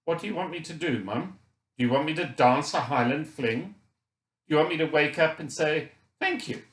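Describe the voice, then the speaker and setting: A man's voice: mid-range voice, lively intonation, unhurried, a bit bright, reverberant. A man voicing an injured, frustrated boy who thinks aloud in a chatty, candid, colloquial way, as first-person audiobook narration. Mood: angry, sarcastic. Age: child.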